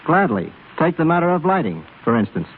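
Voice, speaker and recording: A man with a somewhat high voice gives scripted explanations on 1930s radio. He is formal and carefully phrased. The recording is tinny, with a faint layer of noise. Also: very animated, fairly quick. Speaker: film cameraman guest.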